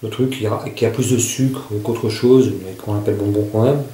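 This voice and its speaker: A man's voice: lowish voice, steady tone, moderate pace, warm tone. A man casually reviewing survival gear to camera. He often hesitates and searches for his words. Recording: echoey room.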